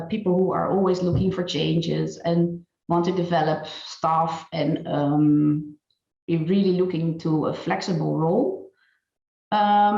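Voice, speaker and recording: A woman giving an online presentation and interviewing a colleague, halting and full of fillers. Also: on the low side, creaky, darkish tone. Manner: hesitant, many fillers and restarts. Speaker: presenter and recruiter. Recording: dull, roomy online call audio.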